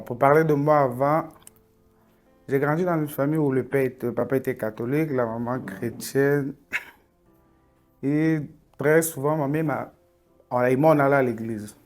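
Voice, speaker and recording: A young man tells his story in an earnest, reflective way. His voice sits at a middling pitch and often sinks to a quiet, inward tone. Soft music plays faintly behind him. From Cameroon. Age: 20s. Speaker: testimony speaker. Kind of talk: personal faith testimony.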